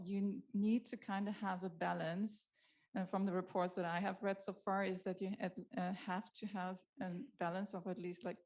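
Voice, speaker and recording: A woman's voice: medium pitch, a little mellow. A woman speaking as a panelist, hesitant and full of fillers. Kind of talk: panel discussion.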